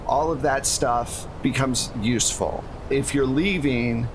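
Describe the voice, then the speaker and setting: Guy gm-ing a tabletop game, chatty explainer, noticeable hum and rumble behind him. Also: takes it slowly. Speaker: game master. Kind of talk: casual tabletop game narration.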